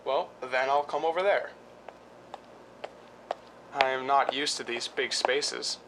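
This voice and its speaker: A man acts a brooding, volatile husband from an old-style suspense radio play. The voice is mid-pitched with a bright, tense edge, and the delivery halts. Slight rumbling noise runs underneath. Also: slow. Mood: halting, longing. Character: handsome, weak, violent-tempered husband, a former lifeguard. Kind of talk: 1940s-style suspense radio drama acting. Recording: noisy recording with low rumble.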